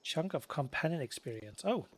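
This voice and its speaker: A man giving casual, unhurried let's play commentary, musing to himself as he plays. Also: fairly high pitch, sing-song. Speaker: let's play video creator.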